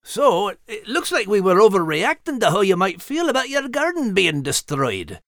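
A woman's voice: medium pitch, very animated, normal speed, a bit bright, dry recording, studio quality. A woman playing a prim, intellectual female cat with a French accent in a children's audio story, choosing her words carefully. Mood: diplomatic. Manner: prim, hesitant, carefully worded.

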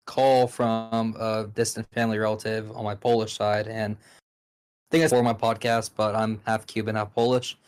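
A man co-hosting a podcast, asking questions and telling stories in a jokey, animated way. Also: on the low side, normal speed, clear, resonant tone. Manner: jokey, animated storyteller who laughs at his own jokes. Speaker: podcast co-host. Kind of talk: casual podcast chat.

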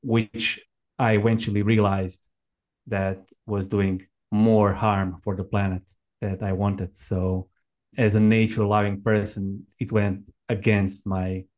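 A man in his thirties from Croatia with a Croatian accent, telling his own story conversationally as an interview guest, in halting, reflective non-native English. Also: on the low side, level tone, darkish tone. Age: 30s. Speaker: podcast guest, permaculture farmer and educator. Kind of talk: conversational personal account.

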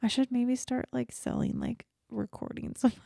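A woman's voice: fairly high pitch, steady tone, moderate pace, gravelly, dark tone, a little hiss or hum. A woman co-hosting a casual podcast, talking bluntly with plenty of swearing and laughter. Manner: blunt, profane, laughs often. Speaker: podcast co-host.

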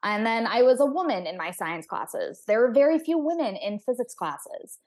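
A woman's voice: a little high, very animated, normal speed, a little mellow. A young adult woman from Texas, an astrophysicist speaking as an interview guest in a chatty, candid and reflective way. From United States (Texas). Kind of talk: podcast interview conversation.

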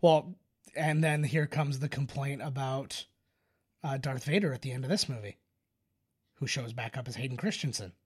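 Man, podcast co-host, casual banter, rambling explainer, self-interrupting, mid-pitched voice. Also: moderate pace, darkish tone, husky. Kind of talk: casual film discussion banter.

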